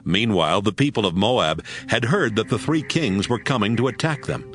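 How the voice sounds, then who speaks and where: A man narrating scripture from a script as a measured, dramatic storyteller. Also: on the low side, animated. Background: music.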